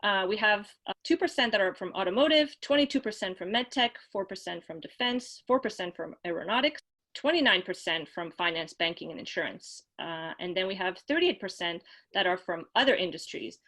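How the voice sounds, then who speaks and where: Woman webinar host, friendly, chatty, ums and uhs, middle pitch. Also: lively intonation, brisk, a bit bright. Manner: friendly, with frequent fillers. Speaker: webinar host from the marketing team. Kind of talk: hosting a webinar, reading poll results.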